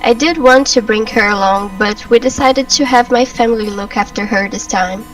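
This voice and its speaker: A woman voicing a gentle, grateful mother with a polite, deferential manner. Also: a little high, moderate pace, distant mic, soft background music, natural voice, poor audio. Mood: concerned.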